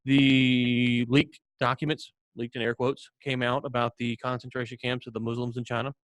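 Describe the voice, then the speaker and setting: An American man co-hosts a podcast in a casual storytelling style. His mid-pitched voice rambles along with mock seriousness and frequent joking. The sound is dry and close, with little room in it. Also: flat delivery, moderate pace, a bit bright, smooth, dry recording. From United States. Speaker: podcast co-host.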